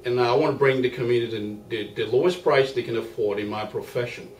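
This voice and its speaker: Liberian man answering formally, off-mic. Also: medium pitch. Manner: measured, formal, many fillers. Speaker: guest, accountant and business owner. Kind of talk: interview answers. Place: small makeshift home studio. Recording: roomy, distant mic with faint hiss.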